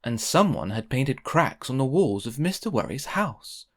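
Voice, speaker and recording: A man reads a children's story aloud in a mid-pitched voice. His narration is expressive and very animated, with pitch that moves freely. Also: a little slow. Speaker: storybook reader.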